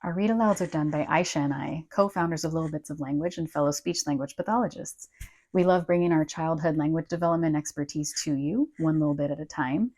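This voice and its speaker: A woman's voice: deep voice, fast talker, a little mellow. A woman speaking informally and instructively as a host, explaining tips to parents in an encouraging way. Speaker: speech-language pathologist and channel co-host.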